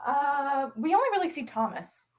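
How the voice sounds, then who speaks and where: A woman with a slightly high voice that moves up and down a lot. She chats casually and animatedly, giggly, in the manner of a livestream co-host. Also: animated, moderate pace, faint music behind. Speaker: co-host of a book discussion livestream. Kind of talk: casual chat.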